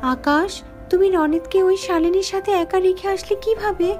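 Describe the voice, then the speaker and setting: Woman playing a scared young woman in a cartoon horror tale, really high tense voice, breathless, light music under it. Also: normal speed, a little mellow. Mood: distressed. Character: young woman, fearful and urgent. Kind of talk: animated horror story voice acting.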